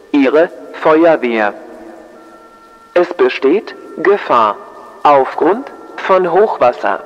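A man giving a formal fire brigade public warning in short, deliberate phrases over a muffled, echoing loudspeaker. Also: fairly high pitch. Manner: slow, clipped, deliberate phrasing. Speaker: fire brigade emergency announcer. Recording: siren loudspeaker with long echo, muffled.

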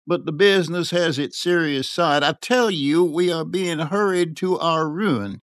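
A man's voice: on the high side, unhurried, dry recording, clean studio sound, pitched above the performer's own voice, natural voice. A man voicing a proud, impatient cloth merchant in an audiobook, haughty and indignant. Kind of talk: audiobook character acting.

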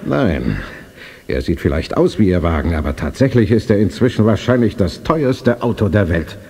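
An actor playing a determined, visionary older gentleman in a radio play, speaking in a measured, resolute way. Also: fairly low pitch, animated, husky. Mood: calm authority. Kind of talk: dubbed-style radio play acting. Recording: faint noise on cassette transfer.

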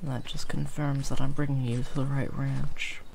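A man voicing a gentle, protective werewolf in an improvised comfort roleplay, speaking softly, soothingly and a little hesitantly. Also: mid-range voice, little pitch movement, normal speed, vocal fry, a little hiss or hum, natural voice. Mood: tender, encouraging. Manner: soft, soothing, hesitant, often near whispering. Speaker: roleplay audio performer.

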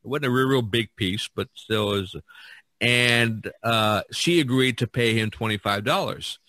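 A man hosting a podcast, telling stories casually and enthusiastically, with a halting delivery. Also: medium pitch, sharp tone, no room sound, low-quality recording. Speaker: podcast host. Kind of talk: casual conversational storytelling.

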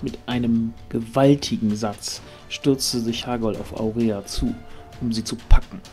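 A man's voice: medium pitch, fairly even intonation, measured pace, darkish tone, soft background music. A man reading scripted narration for a fantasy audio drama.

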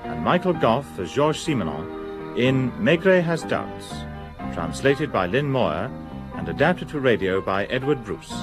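A man's voice: medium pitch, big pitch swings, unhurried. A man reading scripted radio drama credits as an announcer. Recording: guitar theme music behind.

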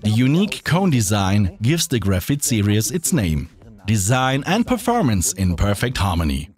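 A middle-aged man with a German accent giving a scripted product presentation in a studio. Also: mid-range voice. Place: small dark studio with acoustic foam. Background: faint background music.

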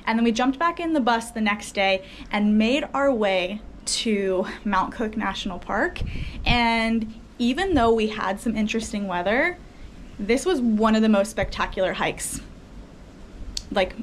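A woman's voice: mid-range voice, animated, unhurried, slight background noise. A young woman talking to camera as a travel vlogger, chatty and enthusiastic with many fillers, recorded indoors on a close camera. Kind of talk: casual talk to camera. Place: indoors by a window.

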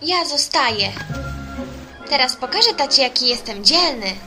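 A woman's voice: sits high, lively intonation, normal speed. A woman voicing a proud, determined young male fawn in an amateur cartoon fan dub. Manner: earnest, determined, childlike. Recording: music behind the voice.

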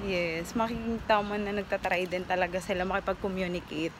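A woman's voice: mid-range voice, fairly even intonation, quick pace, noisy background. A young woman vlogging casually on her travels, chatty and upbeat, filming herself on a phone held at arm's length. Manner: chatty and upbeat, laughs often. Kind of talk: casual travel vlog, mixing English and Tagalog. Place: outdoors on city streets, then a restaurant. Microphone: phone camera held at arm's length.

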